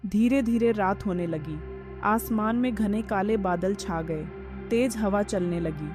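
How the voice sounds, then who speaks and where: Female horror story narrator, medium pitch. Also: a little slow, over music.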